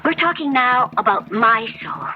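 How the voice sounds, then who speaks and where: A woman acting a strong-willed, higher-voiced young heroine. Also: lively intonation, normal speed, natural voice. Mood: defiant. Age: young adult. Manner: firm, pleading, direct. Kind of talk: 1970s radio drama acting. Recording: dull vintage radio broadcast.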